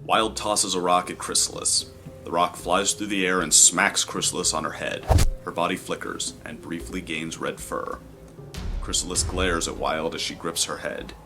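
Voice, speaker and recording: A man's voice: low-pitched, fairly even intonation, normal speed, over music. A man giving scripted narration of the action in an audio drama, as its narrator.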